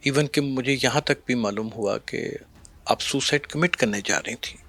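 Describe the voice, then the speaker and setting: A man's voice: mid-range voice, moderate pace, sharp tone. A middle-aged man with a Pakistani accent, hosting a conversational interview in a probing, teasing, dramatic way. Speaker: podcast host, interviewer.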